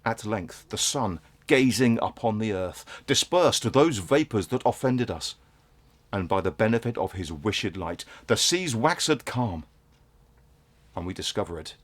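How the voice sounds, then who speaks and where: An actor playing a grieving, world-weary merchant father in a Shakespearean stage monologue, speaking in solemn, measured, theatrical verse. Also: fairly low pitch, expressive. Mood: tense recounting.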